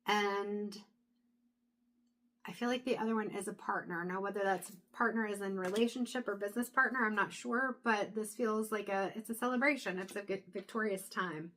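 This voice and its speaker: A woman reads tarot cards aloud in a relaxed, chatty way, talking through her thoughts with fillers and restarts. Her voice sits at an ordinary middle pitch but is wearing thin and hoarse. She pauses now and then to clear her throat. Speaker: tarot reader. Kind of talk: casual intuitive tarot reading to camera.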